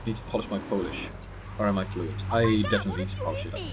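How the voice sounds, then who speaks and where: A man's voice: on the low side, huge pitch swings, very fast, over music. A man from the Netherlands giving casual live commentary while streaming a driving game, chatting with viewers and laughing at his own crashes.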